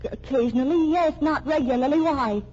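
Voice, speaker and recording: An actress playing a cunning married murderess in a mystery radio drama, with theatrical, emphatic delivery. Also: female voice, high-pitched, brisk, a little mellow, lightly acted. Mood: indignant, flustered. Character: cunning married murderess, indignant then coolly menacing. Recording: muffled, noisy old radio drama recording.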